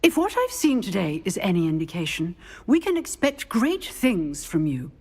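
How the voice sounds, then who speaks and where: An actress playing a stern, proud old witch professor in a game, with a British accent and a husky, theatrical, commanding delivery. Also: fairly low pitch, wildly swooping pitch, moderate pace, darkish tone, long reverb tail, full character voice. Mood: demanding, approving. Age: older.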